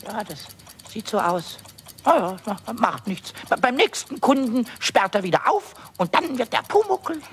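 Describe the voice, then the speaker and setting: A man playing a small, cheeky, impatient kobold in a children's radio play, squeaky and excitable, stammering and repeating his words. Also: a little slow, a little hiss or hum, exaggerated voice. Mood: muttering, self-reassuring. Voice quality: squeaky, shrill.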